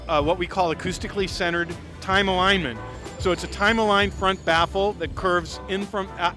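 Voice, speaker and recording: A man presenting products off the cuff as a company representative, with an enthusiastic, salesy delivery. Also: sits high. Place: trade show. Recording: music behind the voice.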